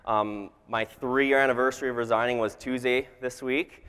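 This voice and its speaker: A man's voice: medium pitch, steady tone, normal speed, fairly bright tone, smooth. A man in his twenties with a Canadian accent, giving an informal talk to a live audience as a guest speaker, with frequent ums. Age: 20s. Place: event hall, on stage. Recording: reverberant large hall sound.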